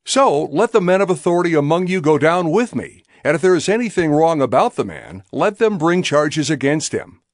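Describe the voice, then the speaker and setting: An actor playing a formal, commanding Roman governor in a dramatized audio Bible, speaking in an oratorical manner. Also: male voice, a little high, big pitch swings, normal speed, hardly any pauses, dry recording, studio quality. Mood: formal, authoritative.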